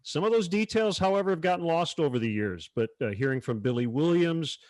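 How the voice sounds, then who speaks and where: A man's voice: on the high side, expressive, fairly quick, darkish tone, no echo. A man moderating a panel discussion in a conversational way, addressing the other guests. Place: virtual panel over video call.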